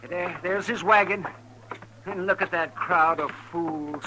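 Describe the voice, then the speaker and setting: Cranky, high-voiced frontier doctor. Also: male voice, unhurried. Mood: indignant. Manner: testy, fussing, exclamatory. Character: cranky, indignant, fussy frontier town doctor. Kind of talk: 1950s radio western acting. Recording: noisy old radio show recording.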